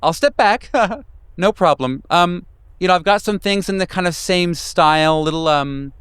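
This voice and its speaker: A man plays a woman gallery owner in a tabletop horror game, pitched up high and clean in tone. She is prim but easily flustered, stammering and repeating herself as she tries to oblige. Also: expressive, normal speed, a bit bright, smooth, no room sound, studio quality. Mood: placating. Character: stern, thin female gallery owner, flustered and fawning.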